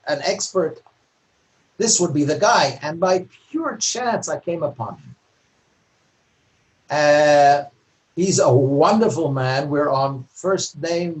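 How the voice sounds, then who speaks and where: An older man with a slightly high voice gives a relaxed lecture over a video call. He is candid, dry and opinionated, and his voice sounds a little distant from the microphone. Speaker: guest lecturer and author. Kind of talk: casual talk to a community group.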